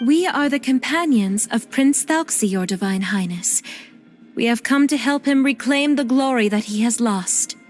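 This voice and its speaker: A woman plays a young female adventurer in a fantasy game. Her voice is high and poised, encouraging and playfully formal, with clear music playing behind her. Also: normal speed, lightly acted. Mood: mock-reverent. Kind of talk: animated video game voice acting.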